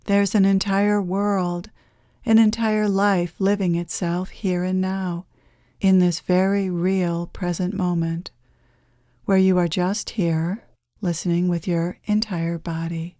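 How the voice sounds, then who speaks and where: Woman, low-pitched, very slow, gentle guided meditation, soothing, long pauses, close dry sound. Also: level tone, dry recording. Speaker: guided meditation leader, channeler.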